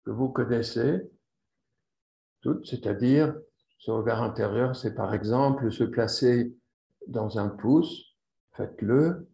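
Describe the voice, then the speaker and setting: A man leading a guided yoga relaxation as the instructor, speaking deliberately and repeating phrases for emphasis. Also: medium pitch, vocal fry, warm tone. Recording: roomy echo, slightly dull sound.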